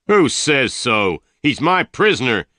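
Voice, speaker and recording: A man's voice: sits low, a little slow, sharp tone, no echo, studio quality. A man voicing an angry, domineering gang leader who makes harsh threats.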